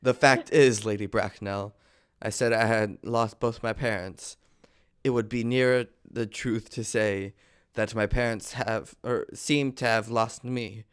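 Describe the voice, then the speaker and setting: Polite young gentleman suitor read by a man, deep clear voice, nervous and deferential, stage comedy read-through. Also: steady tone, a little slow, close to an everyday voice. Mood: awkward, confessing. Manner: deferential, hesitant, formal. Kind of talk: amateur table reading of a stage comedy.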